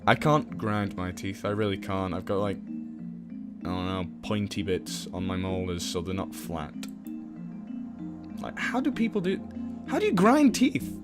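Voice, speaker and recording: A man's voice: deep voice, big pitch swings, a little slow. A man giving casual gameplay commentary and reading game text aloud, with sweary, jokey asides. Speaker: let's player. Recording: game music behind the voice. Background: game music.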